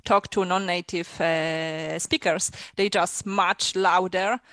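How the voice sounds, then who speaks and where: A woman from Poland with a Polish accent, chatting in an online workshop and telling a story in animated, non-native phrasing. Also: on the low side, slow, no room sound, studio quality. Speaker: workshop participant. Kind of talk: casual online meeting chat. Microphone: video call.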